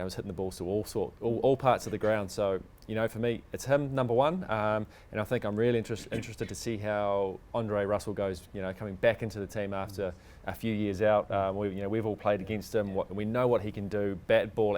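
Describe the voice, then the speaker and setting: A man's voice: on the low side, steady tone, fairly quick, hardly any pauses, a little mellow. A man giving casual sports analysis as a panel pundit. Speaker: cricket player and panel pundit.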